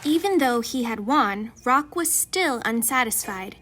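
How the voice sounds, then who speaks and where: A woman's voice: a little high, expressive, a little slow, noisy background. A woman reading a children's storybook aloud in a dramatic, mock-epic manner. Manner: theatrical, mock-epic delivery. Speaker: children's picture book narrator.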